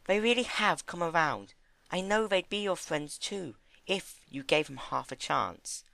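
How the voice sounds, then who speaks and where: A man voicing a gentle, motherly female pony with an earnest manner, in a dramatic storybook reading. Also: on the high side, animated, unhurried, vocal fry, no echo, voice pushed up, exaggerated voice. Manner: soft-spoken, hesitant, earnest. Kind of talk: dramatic fan fiction reading.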